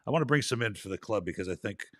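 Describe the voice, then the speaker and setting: Chatty low-voiced male host. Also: expressive, racing, dry recording, clean studio sound. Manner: chatty, rambling, laughs easily. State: slightly raspy from travel. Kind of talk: casual interview chat.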